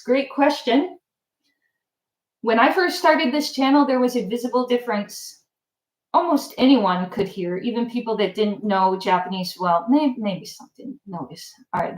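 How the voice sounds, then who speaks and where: A woman hosting a casual livestream, chatting with viewers and answering their comments in a relaxed, filler-heavy way. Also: medium pitch. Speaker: channel host. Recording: echoey room.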